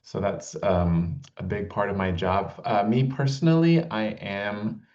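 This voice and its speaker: Brief: male lawyer, deep voice, halting and careful, over a dull lo-fi line. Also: a little mellow. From Malaysia. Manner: measured, hesitant, many fillers. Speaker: attorney, guest speaker.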